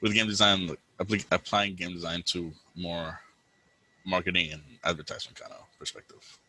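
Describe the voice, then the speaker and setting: Very low-voiced young man, hesitant. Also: fairly even intonation, a little fast, sharp tone, husky, rough recording. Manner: hesitant, many fillers, searches for words. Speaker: alumni panelist. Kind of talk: casual self-introduction. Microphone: video call.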